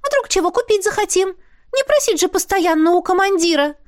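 A woman's voice: extremely high pitch, expressive, fairly quick, pitched above the performer's own voice. A woman voicing a small, bossy female spirit who talks in a businesslike, indignant way. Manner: businesslike, indignant, hissing when alarmed.

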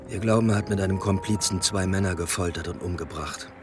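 A very deep-voiced male police inspector played by an actor in a period crime radio play. He is dry, clipped and sardonic, a driven man. Music runs clearly behind him. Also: fast, lightly acted. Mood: dry sarcasm. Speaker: police detective, lead character. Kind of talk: radio play dialogue and inner monologue.

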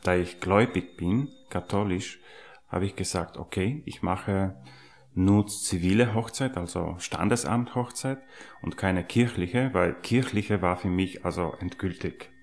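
A man's voice: deep voice, a little slow, creaky. A man recalling his personal life in a documentary interview.

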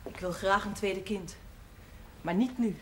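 A woman's voice: medium pitch, a little slow, close to an everyday voice. A woman playing a resigned, matter-of-fact young wife and mother in a naturalistic television drama. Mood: subdued, resigned. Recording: noisy old television drama soundtrack.

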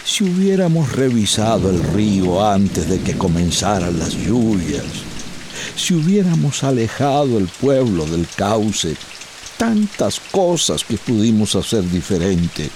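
Bereaved older village founder: humble, regretful, unhurried, widely swinging intonation, Central American Spanish accent, radio drama. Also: male voice, lowish voice, big pitch swings, noisy background. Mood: grieving, wistful. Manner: mournful, drawn-out delivery.

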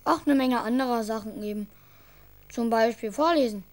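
Small boy played by a woman: high voice, earnest, caring, chatty, for a children's radio play. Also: normal speed, lightly acted. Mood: patient. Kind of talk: children's radio drama acting.

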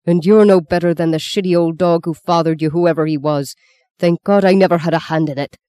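A man's voice: a little high, a little slow, mellow, no echo, lightly acted. A man voicing a proud, hot-tempered middle-aged father full of remorse, with strained emotion, in an audiobook. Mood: controlled anger.

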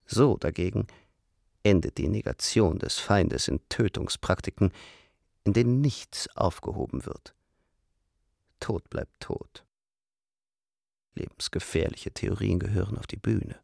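Reflective councillor, very gravelly, husky, dry close sound. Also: male voice, on the low side, darkish tone, no echo. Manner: reflective, measured.

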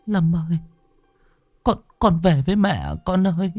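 A man voicing a grieving mother, a woman spirit medium, who pleads and stammers in a high voice. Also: expressive, normal speed, no echo, soft background music. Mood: pleading.

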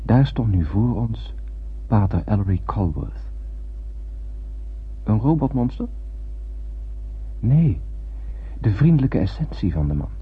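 Solemn astronaut storyteller, radio play, lowish poetic voice, tinny old recording. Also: male voice, very animated, slightly rough, full character voice. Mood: reflective. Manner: poetic, measured literary storytelling. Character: male astronaut narrating a solemn space tale. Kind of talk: Dutch radio play narration. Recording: muffled phone-like old radio recording, noisy.

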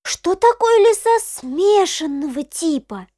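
An actress playing a curious, wide-eyed little granddaughter who asks questions in an audio play. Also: female voice, very high voice, expressive, slow, warm tone, exaggerated voice.

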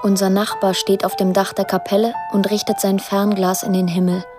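An actress playing a young woman who narrates a radio drama in a plain, reflective, measured way. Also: medium pitch, little pitch movement, over music, close to an everyday voice. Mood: matter-of-fact. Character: pensive young woman narrating her estranged family.

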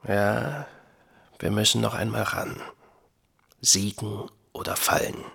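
An actor playing a bitter young army lieutenant in a radio drama, intense and clipped, his rough voice often sinking toward a whisper. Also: lowish voice, slow pace, fairly bright tone, exaggerated voice. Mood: grimly resolute. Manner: clipped, intense military speech. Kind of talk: German radio drama acting. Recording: music behind the voice.

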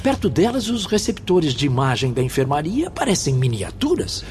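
An actor playing a polite, inquisitive newcomer spirit in a radio drama. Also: male voice, a little high, huge pitch swings, normal speed, darkish tone, slight background noise. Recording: music clearly behind the voice.

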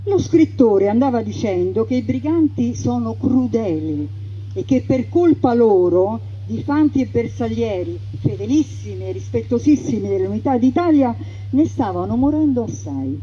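Woman narrator, very dark mellow tone, measured ironic delivery, dull radio sound. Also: a little high, lively intonation. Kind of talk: scripted theatrical narration. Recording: dull radio broadcast with faint noise.

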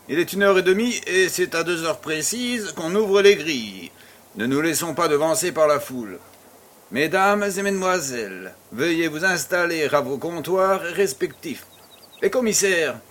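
A man acting as a pompous, jovial baron in a French audio drama, speaking in a ceremonious, deliberate way. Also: a little high, animated, a bit bright. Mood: brisk, jovial. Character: pompous, jovial male baron hosting a charity fête.

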